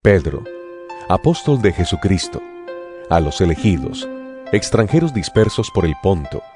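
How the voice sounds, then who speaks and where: A man narrating a dramatized Bible reading in a solemn, measured declamation. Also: low voice, big pitch swings. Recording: music bed under the voice.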